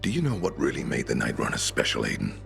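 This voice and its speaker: An actor playing a weary older veteran leader of survivors in a video game cutscene, grave and reflective, with a rough, gravelly voice. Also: male voice, rumbling low, wildly swooping pitch, quick pace, fairly bright tone, soft background music, heavily acted. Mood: earnest, solemn.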